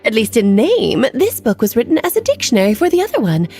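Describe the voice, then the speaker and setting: A woman's voice: fairly high pitch, wildly swooping pitch, quick pace, warm tone, slightly rough, soft background music, exaggerated voice. A woman playing a playful, flamboyant, mischievous witch who talks in a theatrical, teasing, sing-song way in game cutscene dialogue.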